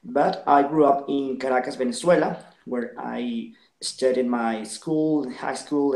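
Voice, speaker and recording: A young man from Ecuador with a Latin American Spanish accent, a native Spanish speaker, talking earnestly as an interview guest, with many fillers and pauses. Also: mid-range voice, creaky, a little mellow, echoey room, rough recording. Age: 20s. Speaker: guest, social entrepreneur. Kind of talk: video call interview.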